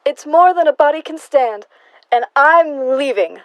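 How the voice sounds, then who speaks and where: A woman's voice: high voice, lively intonation, a little slow, no echo, muffled recording. A young woman acting an uneasy, plain-spoken housemaid in an audio stage play, folksy and plaintive. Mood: spooked, plaintive. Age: young adult.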